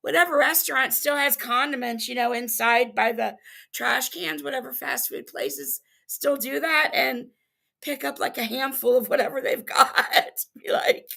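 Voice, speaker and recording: A woman giving sarcastic, blunt commentary over a livestream, hoarse as she loses her voice. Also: high-pitched, normal speed, bright, forceful tone. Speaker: reaction commentator.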